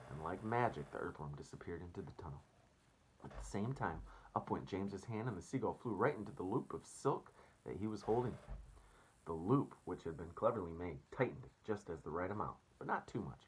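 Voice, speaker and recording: A man's voice: low-pitched, husky, poor audio. A man reading a storybook aloud as a teacher, expressively, and addressing children casually.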